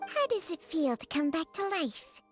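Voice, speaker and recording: A woman's voice: extremely high pitch, big pitch swings, normal speed, soft background music. An actress voicing a wry old female serpent spirit in a video game, with a dry and knowing manner. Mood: sly.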